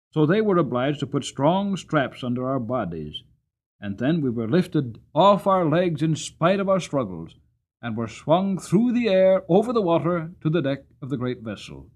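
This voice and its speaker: A man's voice: a little high, big pitch swings, normal speed. A man voicing an old cavalry horse in a storybook, proud and earnest, recounting war with dramatic delivery. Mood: grim, weary. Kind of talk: dramatic audiobook character reading.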